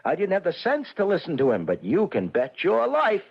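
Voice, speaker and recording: An actor playing an excitable, nervous working man who gambles, in an old-time radio comedy drama with an agitated, emphatic delivery. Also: high voice, fairly quick, vocal fry, husky, full character voice. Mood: indignant, insistent. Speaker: narrator and lead actor in radio play. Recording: noisy, muffled old radio broadcast recording.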